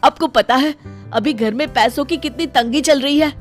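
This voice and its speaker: A woman voicing an exasperated young husband who complains loudly in an animated story. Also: high-pitched, moderate pace, soft background music, natural voice. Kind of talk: animated moral story dialogue.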